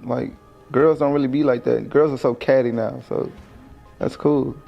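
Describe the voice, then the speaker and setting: A man's voice: mid-range voice, fairly even intonation, moderate pace, creaky. A man chatting casually and flirtatiously in a teasing, slangy way. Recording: muffled video clip with music.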